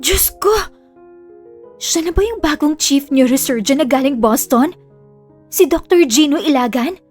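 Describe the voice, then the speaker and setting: A woman's voice: high-pitched, expressive, a little slow, a little mellow, soft background music. A woman playing a starstruck, gushing female hospital staffer in an audio drama. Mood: awed. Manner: breathless fangirl gushing, exclamatory. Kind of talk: Filipino audio drama acting.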